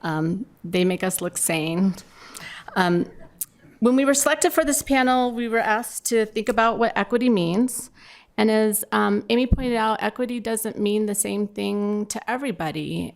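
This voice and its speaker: Middle-aged woman on a conference panel, creaky vocal fry, mid pitch, chatty and talking right to the audience. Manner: conversational, addressing the audience directly. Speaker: panelist from a municipal water provider. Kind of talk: informal conference panel remarks.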